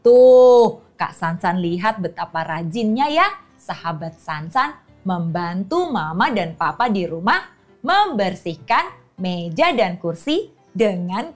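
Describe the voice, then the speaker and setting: A woman hosting and telling stories and riddles to young children, animated, with frequent cheerful exclamations. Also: mid-range voice. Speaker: children's storyteller and host.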